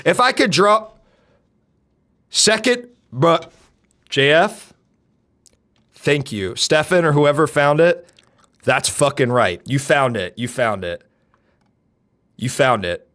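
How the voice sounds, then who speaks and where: A young man with a deep voice, streaming and chatting casually, loud and brash, swinging from shouts to mutters. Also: expressive, slow pace, long pauses. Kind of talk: casual live-stream chat.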